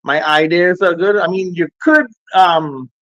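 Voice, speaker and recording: A man's voice: high-pitched, unhurried, fairly bright tone, no echo, lo-fi sound. A middle-aged man with a Trinidadian accent chatting casually as an interview guest, in simple, repetitive, hesitant phrases. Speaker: interview guest, music producer and DJ. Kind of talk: casual chat, reminiscing. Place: remote call, on a phone.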